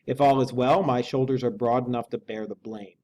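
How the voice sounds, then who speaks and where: A solemn Victorian gentleman lawyer, as a man reads him aloud, with a dark, mellow tone and medium pitch. He speaks formally and frankly, in measured, resolute phrases. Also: fairly even intonation, natural voice. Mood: commanding. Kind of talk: audiobook reading of a classic novel.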